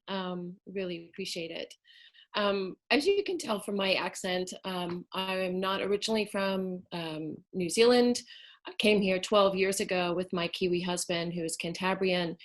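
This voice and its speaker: A middle-aged woman answering as a panelist on a webinar. She speaks thoughtfully, with frequent ums. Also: medium pitch.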